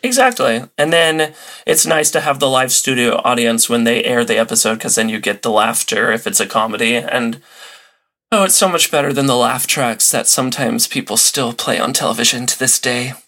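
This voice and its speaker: A man chatting casually as a podcast guest, animated and given to acting out little quotes and sound effects. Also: medium pitch, normal speed. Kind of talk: casual chat.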